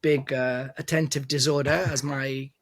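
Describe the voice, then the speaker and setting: A middle-pitched man from Italy chats in a halting, restart-filled way, laughing now and then. His voice sounds close and dry, with almost no room around it. He is a guest answering questions. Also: little pitch movement, no room sound. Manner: hesitant, many restarts and fillers, laughs often. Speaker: guest DJ and producer. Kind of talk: casual interview chat.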